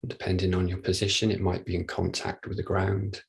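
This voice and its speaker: A man leading a guided body scan meditation, speaking gently and without hurry, leaving long pauses. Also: deep voice, completely flat delivery, creaky, breathy edge. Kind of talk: guided meditation, soft instruction.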